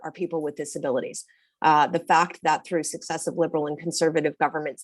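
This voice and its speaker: A low-voiced woman candidate answers earnestly, often opening sentences with fillers. The sound is dry. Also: dry recording. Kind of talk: debate answer.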